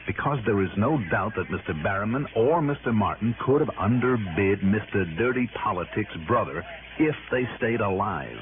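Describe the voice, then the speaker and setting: A man's voice: lowish voice, lively intonation, normal speed, close to an everyday voice. Guy acting a tough american radio detective, wry and persuasive, tinny noisy old broadcast sound. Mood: earnest, confiding. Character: tough, self-assured male private investigator defending himself. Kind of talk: 1960s radio drama acting.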